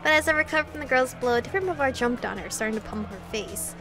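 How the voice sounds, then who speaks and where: A woman hosting a visual novel playthrough, reading game text aloud with expressive, casual reactions and casual swearing. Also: fairly high pitch, racing, over music.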